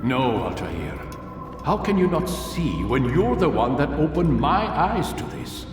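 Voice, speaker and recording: Slow, grave old mentor. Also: male voice, a little high, big pitch swings. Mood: philosophical, earnest. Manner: solemn, measured, sermonizing. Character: grave, wise older male master of assassins. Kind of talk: video game voice acting. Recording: echoing hall, distant voice under music.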